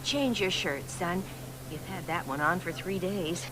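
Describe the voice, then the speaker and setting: An actress playing an anxious middle-aged mother, gentle but scolding, in a radio drama. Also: lowish voice, a little slow, lightly acted. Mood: fretful. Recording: hissy broadcast recording.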